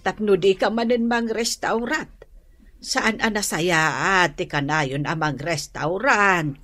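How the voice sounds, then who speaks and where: An actress playing a doting, middle-aged mother, gentle and proud, in a radio drama. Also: medium pitch. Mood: fond, caring. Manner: motherly, unhurried.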